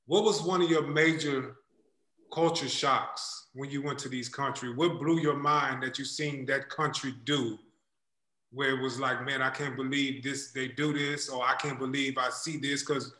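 Bright-voiced man in a roomy echo. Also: medium pitch, moderate pace. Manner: chatty, relaxed storytelling. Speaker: podcast co-host. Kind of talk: casual interview questions. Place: indoor room. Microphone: video call webcam mic.

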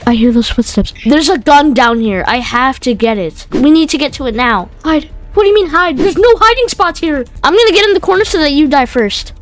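A woman doing casual, slangy gameplay commentary, excitable and prone to exclaiming and repeating phrases. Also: sits high, brisk, few pauses. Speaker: gaming video host.

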